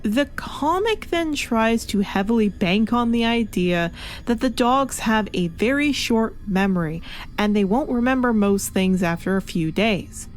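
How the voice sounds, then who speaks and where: A woman's voice: fairly high pitch, animated, unhurried. A woman narrating a scripted video review as a critic, speaking in an emphatic, theatrical manner. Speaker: comic reviewer.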